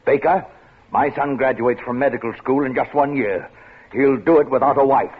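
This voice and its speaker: An actor playing a domineering older male boss with asthma, gruff and commanding in old-time radio drama style, with a raspy voice. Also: mid-range voice, normal speed, close to an everyday voice. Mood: stern. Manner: gruff, commanding, wheezing coughs. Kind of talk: 1940s radio acting. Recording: tinny old radio broadcast.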